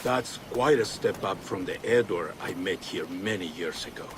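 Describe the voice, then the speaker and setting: Man acting a grave, measured assassin leader. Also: low voice, background noise, lightly acted. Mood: solemn, probing. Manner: measured, formal, solemn. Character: grave, wise male leader of an assassin order. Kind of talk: video game cutscene acting. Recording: loud music behind the voice.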